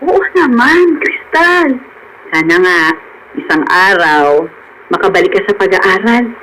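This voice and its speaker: A woman's voice: mid-range voice, big pitch swings, measured pace, sharp tone, a little hiss or hum, rough recording. A woman playing a loving middle-aged mother in a radio drama, speaking tenderly and with encouragement. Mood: tender, hopeful. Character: loving, hopeful hardworking mother.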